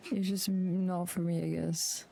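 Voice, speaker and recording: A woman's voice: lowish voice, flat delivery, unhurried, gravelly, mellow. A woman live streaming a game with casual, offhand commentary. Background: game music.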